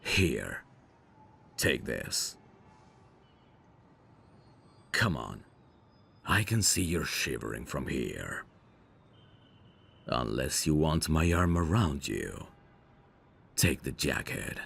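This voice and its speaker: Dangerous male mafia boss, played by a voice actor, ASMR audio drama: slow, lively intonation, self-assured teasing, protective streak. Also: a bass voice, gravelly, slightly rough, heavily acted. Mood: gentle, coaxing.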